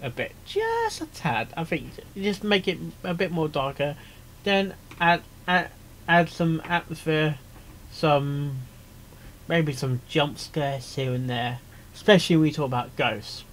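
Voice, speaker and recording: Slightly high-voiced man, rambling reaction commentary. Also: big pitch swings, measured pace, fairly bright tone, a little hiss or hum. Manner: hesitant, rambling, repeats phrases. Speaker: reaction video host, amateur voice actor. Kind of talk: casual off-the-cuff commentary.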